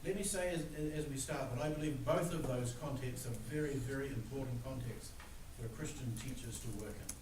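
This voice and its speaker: An older man with a New Zealand accent giving a relaxed, joking lecture to students, with plenty of "uh" fillers. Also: medium pitch, brisk, hardly any pauses. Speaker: guest speaker. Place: large room. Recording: echoey room recorded from far off, noisy.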